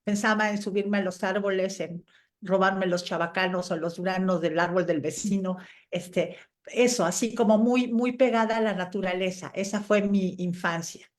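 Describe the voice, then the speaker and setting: A woman's voice: medium pitch, moderate pace. A middle-aged woman from Chile and Mexico answering casually in an interview, telling anecdotes in a conversational way. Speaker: interviewed scientist guest.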